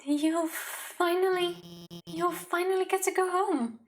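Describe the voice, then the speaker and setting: A woman's voice: extremely high pitch, fairly even intonation, takes it slowly, a crowd in the background, pitched above the performer's own voice. A woman voicing a nervous, awkward female monster scientist who stammers and hesitates, in video game dialogue.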